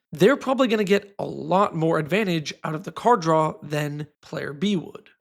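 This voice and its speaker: A man with a sick, raspy voice, giving a scripted, casually opinionated talk about a card game. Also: on the high side. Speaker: card game content creator. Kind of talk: scripted video essay.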